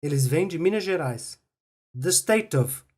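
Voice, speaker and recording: A woman reading short phrases slowly and carefully for language learners to repeat. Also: deep voice, expressive, a little mellow. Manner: reads each phrase slowly and carefully, with pauses for repetition. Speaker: phrase recording voice. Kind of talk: slow, clear phrase drills for learners.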